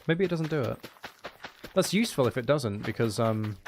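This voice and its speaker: Creaky-voiced man chatting on a game stream. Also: medium pitch, animated, moderate pace, slight background noise. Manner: rambling, thinking aloud, hesitant. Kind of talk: casual live-stream chat while playing.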